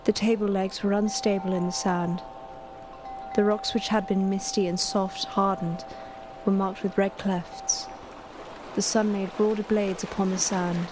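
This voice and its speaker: Female actor as lyrical, impressionable speaker in poetic audio drama; medium pitch, noticeable ambient sound. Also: little pitch movement, measured pace, background noise, close to an everyday voice. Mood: lyrical, measured. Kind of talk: poetic literary drama narration.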